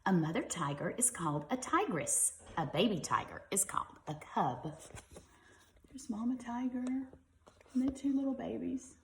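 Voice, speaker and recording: Lady doing storytime for little kids, very lively pitch, chatty little explanations, bit of room echo. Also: moderate pace, a little hiss or hum. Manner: expressive, with simple explanatory asides to children. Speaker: teacher reading a children's book aloud. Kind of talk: read-aloud storytelling for young children.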